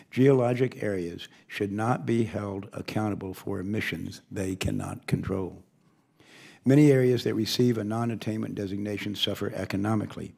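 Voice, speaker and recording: An older man with a hoarse voice, reading a formal opening statement as committee chairman with occasional asides. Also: on the low side, a little mellow.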